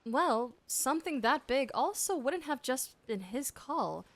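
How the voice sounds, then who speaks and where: A woman's voice: high-pitched, expressive, measured pace, fairly bright tone. A woman streamer casually reacting to a game and playfully talking back to it.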